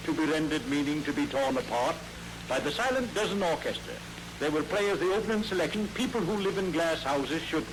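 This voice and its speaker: A man playing a comic radio announcer who keeps flubbing and correcting his words. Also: on the high side. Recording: crackly old radio recording.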